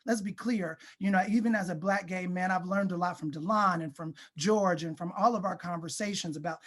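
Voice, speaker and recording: A man's voice: sits high, brisk, fairly bright tone. A man talking as an interview guest, conversational, articulate and emphatic in making points.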